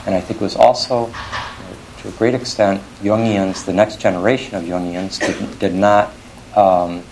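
Deep gravelly older male lecturer. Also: flat delivery, a little mellow, a little hiss or hum, poor audio. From Switzerland. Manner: scholarly, halting, with frequent self-corrections. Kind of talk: academic lecture.

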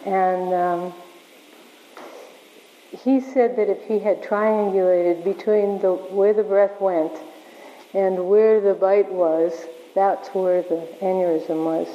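A woman leading a workshop, answering questions in a halting way with many restarts, her voice wearing thin. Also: mid-range voice, fairly even intonation. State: losing her voice. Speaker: workshop leader. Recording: echoey hall, dull lo-fi sound.

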